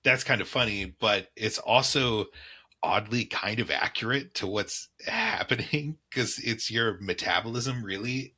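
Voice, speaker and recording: Husky low-voiced man chatting. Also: measured pace, sharp tone. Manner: loose, rambling explanations with laughs. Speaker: podcast co-host. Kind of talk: casual chat. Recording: mild background keyboard clacking.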